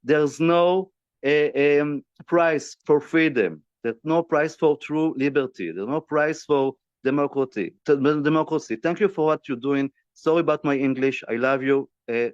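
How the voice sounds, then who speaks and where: A man's voice: a little high, unhurried, darkish tone, no echo, lo-fi sound. A man from Israel making an urgent appeal in broken English, with many hesitations and fillers. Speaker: activist speaker. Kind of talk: impassioned appeal in halting English.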